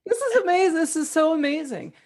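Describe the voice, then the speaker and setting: A woman hosting a podcast interview who responds warmly and often murmurs agreement. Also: extremely high pitch, lively intonation, fast talker. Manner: encouraging, frequent murmured agreement. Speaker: podcast host.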